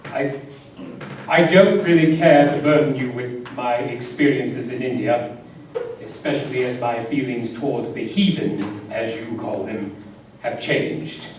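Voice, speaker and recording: A man's voice: mid-range voice, a little slow, close to an everyday voice. A man playing a loyal, anguished British army captain, earnest and emotional, in a live horror radio drama. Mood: subdued, reflective. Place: live radio theater stage. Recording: very echoey hall, distant mic, audience noise.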